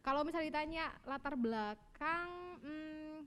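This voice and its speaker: A woman's voice: high-pitched, moderate pace, a crowd in the background. A woman in her thirties from East Java, chatting and joking as a guest on a podcast. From East Java, Indonesia. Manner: chatty, joking, laughs often. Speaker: vice dean guest. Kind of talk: casual podcast chat.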